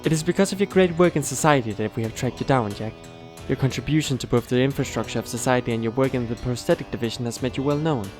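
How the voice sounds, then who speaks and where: A man's voice: medium pitch, quick pace, a little mellow, soft background music, close to an everyday voice. A man playing a courteous, encouraging board interviewer in an amateur audio drama, speaking formally. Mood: courteous, impressed.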